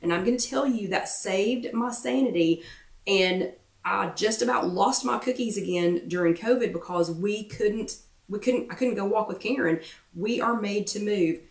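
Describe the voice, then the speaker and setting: Chatty woman with a croaky voice. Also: mid-range voice, normal speed, far from the mic. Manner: chatty, repeats herself for emphasis, many ums. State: voice getting croaky. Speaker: wellness workshop host. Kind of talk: casual motivational chat to viewers. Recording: roomy echo, away from the mic.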